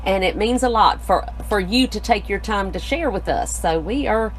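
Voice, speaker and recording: A woman's voice: medium pitch, normal speed, slight background noise. A middle-aged woman co-hosting a live craft tutorial in an indoor studio, affirming her partner and explaining steps plainly. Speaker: co-host, craft designer.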